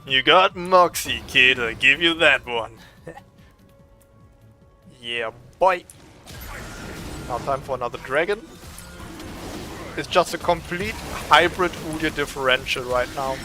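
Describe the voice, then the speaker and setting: A young man with a German accent, giving excitable, swearing, trash-talking gameplay commentary. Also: mid-range voice, slow, long pauses, music in the background. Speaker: gaming streamer.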